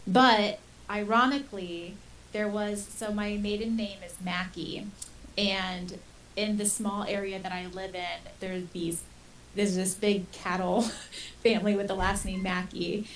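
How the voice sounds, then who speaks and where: A woman's voice: medium pitch, fairly even intonation, a little slow, a little hiss or hum. A woman co-hosting a podcast, chatting informally and rambling through anecdotes, recorded at a distance from the microphone. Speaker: podcast co-host. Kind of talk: casual conversational chat. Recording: distant from the mic.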